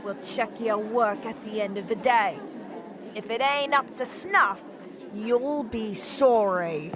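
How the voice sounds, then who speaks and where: A woman's voice: a little high, takes it slowly, a crowd in the background, background noise. A woman acting a bossy, threatening workhouse overseer in an audio drama. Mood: stern, bossy.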